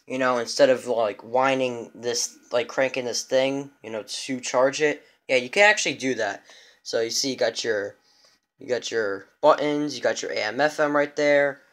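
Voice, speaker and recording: A man giving a casual show-and-tell as a vlogger, rambling and halting as he goes. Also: mid-range voice, a bit bright. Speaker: vlogger showing a radio collection. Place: indoors in a room at home.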